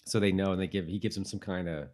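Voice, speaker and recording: A man co-hosting a casual TV rewatch podcast, talking in a halting way and repeating words as he thinks. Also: deep voice, steady tone, a little mellow. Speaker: podcast co-host.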